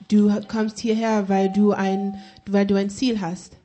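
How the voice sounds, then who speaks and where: A woman's voice: mid-range voice, level tone, measured pace, warm tone, faint music behind. A woman interprets a sermon as it is preached, echoing each phrase right after the speaker. She hesitates often and corrects herself mid-word. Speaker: church interpreter.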